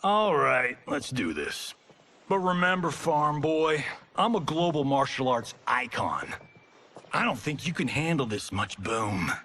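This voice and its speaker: An actor playing a vain, cocky Hollywood martial arts star in a video game, brash, sarcastic and showy. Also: male voice, mid-range voice, lively intonation, measured pace, sharp tone, heavily acted. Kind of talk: cinematic video game voice acting.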